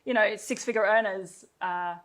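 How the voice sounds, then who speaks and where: A woman co-presenting a sales pitch, gushing and enthusiastic, often laughing between phrases. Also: moderate pace, a bit bright, a little hiss or hum.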